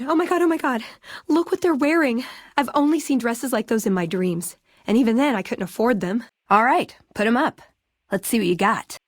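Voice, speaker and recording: An actress playing a wry, inquisitive young tech scribe in a video game, with an American accent and a casual, conversational delivery. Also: female voice, on the high side, no room sound, clean studio sound. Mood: delighted, flustered. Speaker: video game character actor.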